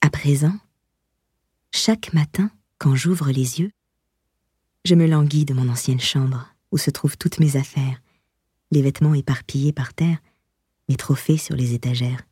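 A woman with a low, very breathy and husky voice reads a memoir as an audiobook narrator. Her tone is dark and soft-edged. The delivery is measured and expressive, recorded dry and close. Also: no echo.

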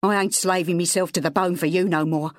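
A woman voicing a drunk, bitter working-class father who rants and yells. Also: lowish voice, steady tone, fairly quick, no room sound, clean studio sound, close to an everyday voice. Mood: bitter ranting. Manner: yelling, slurring, coarse.

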